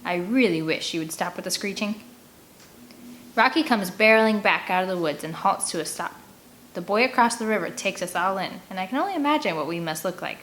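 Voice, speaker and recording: A woman narrating a first-person story in an animated, expressive read-aloud manner. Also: medium pitch, a little fast. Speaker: audiobook reader. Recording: clear background ambient noise.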